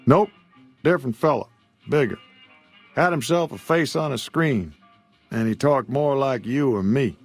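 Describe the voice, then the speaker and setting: A man's voice: mid-range voice, a little slow, pauses often, faint music behind. A man voicing a weary old frontier shopkeeper who talks in a drawl. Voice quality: gravelly drawl. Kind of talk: video game dialogue.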